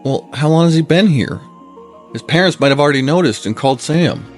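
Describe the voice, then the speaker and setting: An actor playing a practical, reluctant but loyal small-town friend in an audio drama, plain-spoken and skeptical. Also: male voice, on the high side, lively intonation, brisk, soft background music. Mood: disbelieving.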